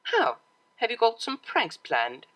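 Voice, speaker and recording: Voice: medium pitch, wildly swooping pitch, slow pace, fairly bright tone, pitched above the performer's own voice, full character voice. A performer voicing a refined young mare who plays cello, prim and easily flustered, in an audio story. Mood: prim, amused. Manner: prim, formal phrasing.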